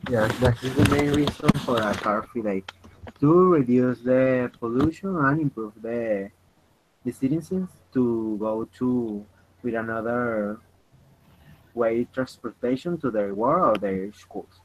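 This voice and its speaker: Man in his twenties with a Venezuelan accent. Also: medium pitch. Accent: Venezuelan Spanish. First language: Spanish. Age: 20s. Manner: halting non-native English with restarts. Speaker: chat moderator. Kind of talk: online English practice chat. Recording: dull lo-fi voice call with faint noise.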